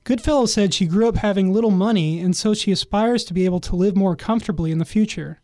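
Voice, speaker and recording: A man reading a scripted news feature as the reporter, in a measured way. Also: high-pitched, darkish tone, dry recording.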